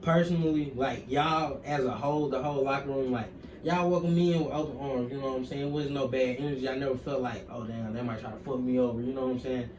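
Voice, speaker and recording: A man's voice: medium pitch, fairly even intonation, quick pace, hardly any pauses, darkish tone. A young man from Mississippi with a Southern accent, speaking in laid-back slang and often adding "you know what I'm saying". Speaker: guest wrestler. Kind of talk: casual interview. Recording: far-off echoey room with faint noise.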